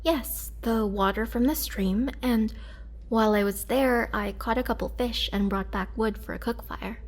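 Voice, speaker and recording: A woman playing a gentle, remorseful young vampire in an intimate roleplay audio drama, speaking softly and hesitantly with tender care. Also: a little high, measured pace. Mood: caring, reassuring. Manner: soft, hesitant, caring delivery.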